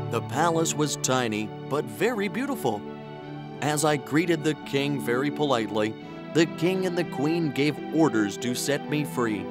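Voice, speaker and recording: Earnest polite adventurer telling his tale, slow and really animated, cartoon storybook, music behind. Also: fairly high pitch. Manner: expressive, deliberate storytelling. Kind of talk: scripted children's story narration in character.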